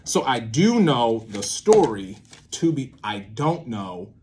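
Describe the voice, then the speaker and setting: A man giving an informal creative lesson to camera, thinking aloud in an animated way with swings in emphasis. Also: mid-range voice, slow pace, a little hiss or hum. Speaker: creator and host teaching a creative method. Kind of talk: informal instructional monologue to camera.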